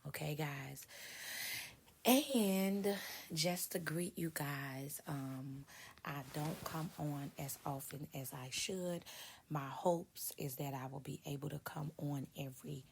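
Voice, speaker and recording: A woman who writes and speaks on leadership, giving a casual motivational talk to her viewers in a hoarse voice, stressing her points by repeating them. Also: low-pitched, slow pace, a little mellow, slight background noise. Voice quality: raspy, husky. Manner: emphatic, repeats key points.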